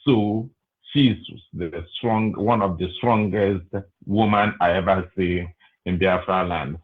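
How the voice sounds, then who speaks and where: A man from Nigeria with a Nigerian accent speaks over a tinny phone line. His voice is low and his pace slow and unhurried, with frequent pauses and fillers as he works through his greetings. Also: steady tone. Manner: slow, hesitant, many fillers. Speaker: caller. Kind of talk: call-in greetings and comment.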